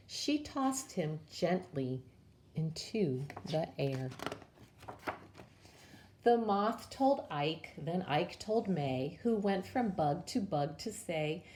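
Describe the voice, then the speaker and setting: Slow-paced woman reading a children's story. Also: fairly low pitch, darkish tone. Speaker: children's story time presenter. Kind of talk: storybook read-aloud for young children.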